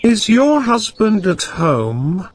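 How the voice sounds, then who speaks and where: Man acting a high, animated scheming magician. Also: takes it slowly, no echo, full character voice. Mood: prying. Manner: probing, persuasive. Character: smooth-talking, prying male stranger, a disguised magician. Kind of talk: storybook character acting.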